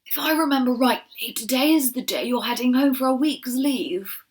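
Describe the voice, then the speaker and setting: A woman doing a gruff old rabbit general. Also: high-pitched, moderate pace, pitched above the performer's own voice, natural voice. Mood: grave. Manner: gruff, grave. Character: elderly male rabbit leader, kind but stern.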